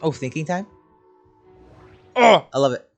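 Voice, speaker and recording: A gaming streamer giving casual, excitable, sweary reactions during a playthrough. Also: medium pitch, a little slow, muffled recording, faint music behind.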